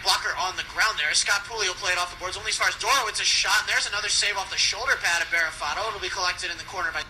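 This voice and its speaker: A man calling live ice hockey play-by-play on the radio with urgent, excitable delivery. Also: high voice, rapid-fire, few pauses. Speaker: play-by-play announcer. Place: ice hockey rink broadcast position. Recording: noisy arena radio broadcast.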